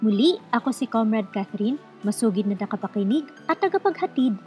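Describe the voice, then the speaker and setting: A woman's voice: fairly high pitch, animated, fairly quick, darkish tone, faint music behind. A woman hosting a scripted radio show, speaking to listeners directly in an exhortative, conversational way. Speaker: radio program host.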